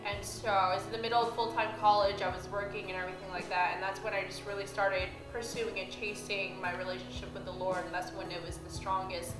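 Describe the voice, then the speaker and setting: A woman's voice: medium pitch, flat delivery, fast, hardly any pauses. A young woman from the Philippines giving an earnest, reflective personal testimony about her faith. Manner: earnest, reflective, with light laughs. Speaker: church member telling her story. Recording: roomy sound with music behind.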